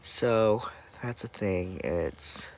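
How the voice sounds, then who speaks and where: A young man talks slowly in a somewhat low voice, stuffy and congested as he comes down with a cold. He sounds worn out and drifts through his update haltingly, with many pauses and filler words. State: dead tired. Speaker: vlogger. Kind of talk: casual personal update.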